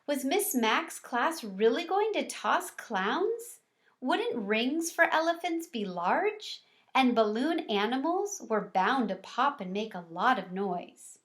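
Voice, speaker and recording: A woman voicing a small, earnest, excitable male pet hamster in a children's storybook, squeaky when exclaiming. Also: slow pace, heavily acted. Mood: alarmed, puzzled.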